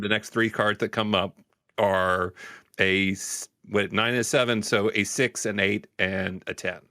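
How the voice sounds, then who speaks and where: A man's voice: lowish voice, bright, forceful tone, dry recording, clean studio sound. A man playing a tabletop game, casually narrating what his character does and thinks. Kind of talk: casual improvised third-person storytelling for a game character.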